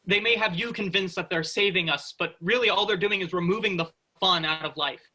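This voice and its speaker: A rebellious, cocky spaceship worker, acted by a man with a high, very bright and forceful voice. The manner is pressing and persuasive, always arguing against the robots, in a staged sci-fi play reading. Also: a little fast, exaggerated voice. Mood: skeptical, challenging.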